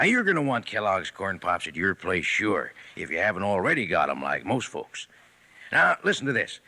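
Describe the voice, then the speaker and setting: An actor playing a folksy old cowboy pitchman in a 1950s radio ad, with a drawl and playful pauses. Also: vocal fry, pushed and bright, breathy edge, full character voice. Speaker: sponsor pitchman.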